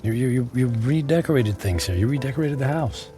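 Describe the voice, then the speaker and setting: A man's voice: mid-range voice, expressive, brisk, lightly acted. An actor playing a humbled, middle-aged ex-husband in a radio drama, apologetic and pleading, stammering as he goes. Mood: awkwardly warm. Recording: music bed and rain behind.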